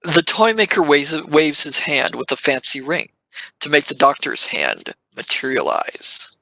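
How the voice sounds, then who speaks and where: A man's voice: fairly high pitch, huge pitch swings, a little slow, gravelly, sharp tone, no echo, poor audio. A man reading scripted narration for an audio drama.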